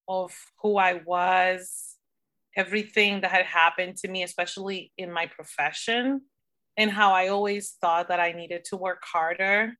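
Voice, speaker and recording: Bright-voiced, candid woman guest. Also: fairly low pitch. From Dominican Republic. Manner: candid, informal. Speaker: interview guest. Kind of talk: conversational personal storytelling.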